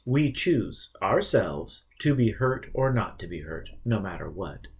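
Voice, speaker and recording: A man voicing a calm, patient male teacher in an audiobook, reasoning and explaining with a gentle, teasing touch. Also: on the low side, unhurried, breathy edge, full character voice. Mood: instructive.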